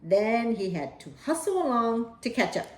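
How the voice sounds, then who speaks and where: A woman's voice: on the high side, very animated, moderate pace, a little mellow. A woman storyteller reading a children's picture book aloud. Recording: roomy echo.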